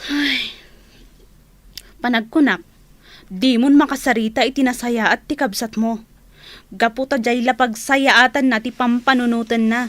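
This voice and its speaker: A woman's voice: a little high, fairly even intonation, unhurried, pauses often, dry recording, close to an everyday voice. A woman playing a concerned, peace-making young wife in a radio drama, with an Ilocano accent. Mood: worried.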